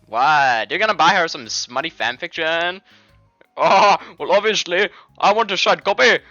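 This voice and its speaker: Teasing female school friend for a game, male performer: exaggerated, high and forceful, slightly muffled audio. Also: huge pitch swings, measured pace, no echo, faint music behind, heavily acted. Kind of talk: video game fan voice-over.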